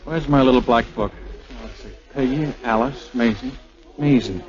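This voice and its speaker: A man's voice: mid-range voice, moderate pace, a little mellow, close to an everyday voice. An actor playing a breezy, teasing, womanizing young air force major in 1940s radio drama style. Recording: muffled old radio recording.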